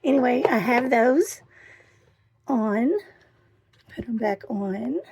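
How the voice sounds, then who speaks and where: A middle-aged woman with a hoarse voice, chatting casually as she gives a tour of her camper van. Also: a little high, darkish tone, slight background noise. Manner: chatty and enthusiastic, pausing while showing things. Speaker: vlogger. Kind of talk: casual home tour vlog. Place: inside a small camper van.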